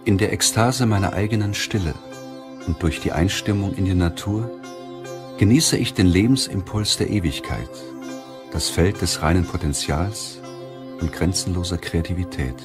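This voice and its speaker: Low-pitched man narrating an audiobook. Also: normal speed, over music. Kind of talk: scripted audiobook narration of a self-help book.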